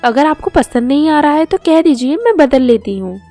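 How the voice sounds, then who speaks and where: A woman's voice: sits high, lively intonation, normal speed, mellow, soft background music, pitched above the performer's own voice. A woman voicing a young modern city daughter-in-law, polite, sweet and eager, in storybook dialogue. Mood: eager to please.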